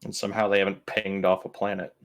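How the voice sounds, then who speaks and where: A man's voice: sits low, little pitch movement, fast talker. A man playing a tabletop game, talking casually with the group.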